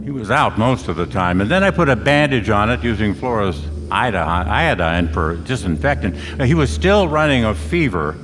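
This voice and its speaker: An actor playing a cool, tough private detective in a stage radio play, wry and quick-witted with clipped delivery. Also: male voice, lowish voice, very animated, noisy background. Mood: narrating. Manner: hard-boiled, clipped orders, wry. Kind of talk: radio play with first-person narration. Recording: echoey stage hall recording.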